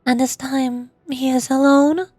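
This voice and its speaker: A woman's voice: sits high, flat delivery, measured pace, darkish tone. A woman game streamer chatting to herself and reading on-screen text aloud as she plays. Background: game music.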